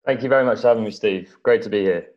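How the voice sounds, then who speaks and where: An English man from East Anglia giving measured, modest, somewhat hesitant conversational answers as an interview guest. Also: fairly low pitch. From East Anglia, England. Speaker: interview guest, documentary film-maker. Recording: dull, lo-fi audio.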